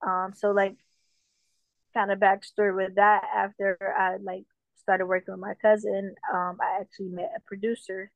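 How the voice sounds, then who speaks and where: A woman from Jamaica, a musician guest, talking casually in an interview with a relaxed manner and lots of fillers. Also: medium pitch, moderate pace, creaky, no room sound. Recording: dull, dry lo-fi sound.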